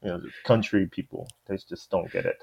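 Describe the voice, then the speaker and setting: A man's voice: sits low, fairly even intonation, fairly quick, creaky, a little mellow. A man who grew up in South Korea and speaks English with a Korean accent, chatting easily as a panel guest and often saying "you know". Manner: easygoing, laughs often, fillers like "you know". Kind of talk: casual panel discussion. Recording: dull lo-fi sound.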